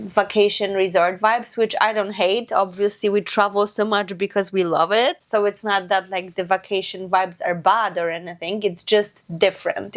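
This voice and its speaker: A young woman vlogger in casual chat, chatty and often laughing, with drawn-out filler words. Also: mid-range voice. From Poland.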